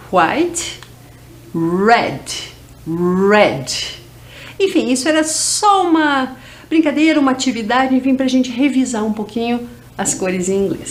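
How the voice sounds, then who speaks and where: A woman's voice: a little high, slight background noise. A woman from Brazil with a Brazilian Portuguese accent, teaching English to teens in a video lesson and drawing out each word as she drills it. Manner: slow, drawn-out drilling of English words.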